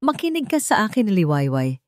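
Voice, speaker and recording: A woman's voice: mid-range voice, sing-song, a little fast, dry recording, studio quality, pitched above the performer's own voice, natural voice. A woman playing an authoritative, protective male landlord in an audio drama, speaking firmly and emphatically. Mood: protective, resolute. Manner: firm, emphatic, commanding.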